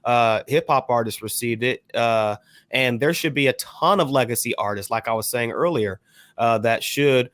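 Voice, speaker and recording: A man's voice: medium pitch, moderate pace, a bit bright, no echo. Male panelist, mid-range voice, casual discussion style, close dry recording. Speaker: panelist on a hip hop discussion show.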